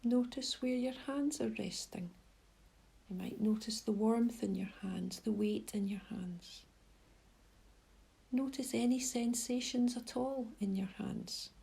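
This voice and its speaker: A woman leading a guided meditation in a soft, breathy voice, giving gentle, unhurried instructions with pauses. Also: on the high side. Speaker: mindfulness guide.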